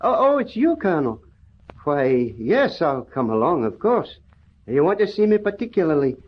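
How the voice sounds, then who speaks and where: An actor playing a kindly, earnest Scottish country doctor in an old radio drama, with homely, exclamatory turns of phrase. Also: male voice, a little high, sing-song, normal speed. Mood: genial, folksy. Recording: muffled old radio recording.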